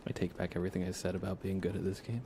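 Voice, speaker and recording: Low gravelly man, casual ASMR gaming. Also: monotone, rapid-fire, background noise. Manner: casual, rambling, thinking aloud. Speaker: livestreamer. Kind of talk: relaxed ASMR game commentary.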